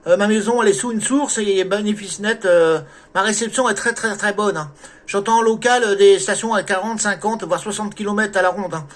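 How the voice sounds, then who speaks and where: High-voiced man chatting on radio. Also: brisk. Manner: frequent "hein" and "là" tags. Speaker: amateur radio operator. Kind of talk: casual radio contact chat. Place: at home radio station. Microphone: desk microphone through a mixer.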